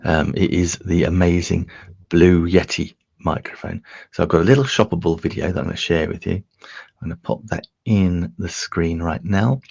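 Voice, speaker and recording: A man with a very low, gravelly voice walks viewers through a software demo in a loose, chatty way, full of fillers and restarts. His tone is a bit husky and a little croaky, and he talks into a desk microphone. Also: fairly even intonation, normal speed, highs cut off. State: slightly croaky voice. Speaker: host demonstrating streaming gear. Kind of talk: casual tech demo talk.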